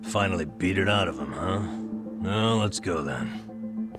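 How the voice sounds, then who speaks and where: An actor in an English game dub playing a gruff, sardonic companion with a dry manner. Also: male voice, sits low, expressive, a little slow. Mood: exasperated. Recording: loud music behind the voice.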